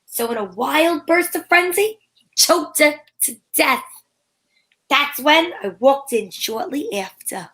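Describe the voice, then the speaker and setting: A woman's voice: sits high, lively intonation, slow pace, fairly bright tone, exaggerated voice, rough recording. A woman playing a sassy, self-assured female private detective in an old-time radio drama, with wry delivery. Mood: narrating grimly.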